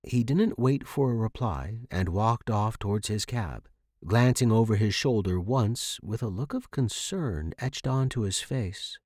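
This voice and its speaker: A man's voice: on the low side, animated, unhurried, a little mellow, no echo, clean studio sound. A man reading scripted first-person fiction as the narrator.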